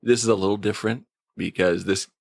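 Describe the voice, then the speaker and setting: A man's voice: on the low side, fairly even intonation, a little fast, creaky, no echo. A man giving a casual, conversational review as a podcast reviewer. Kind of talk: casual episode review chat.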